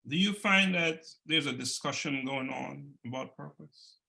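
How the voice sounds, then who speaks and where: A male interview host with a gravelly, bright, tense tone. He encourages his guest and stumbles slightly as he begins his questions. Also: fairly high pitch, a little slow. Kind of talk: casual interview.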